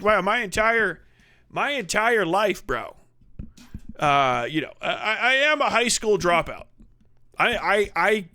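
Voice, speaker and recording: A man's voice: high voice, bright, forceful tone, no echo. A man hosting a livestream, ranting casually with sarcasm and profanity, laughing at his own jokes into a close mic. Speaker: livestream host.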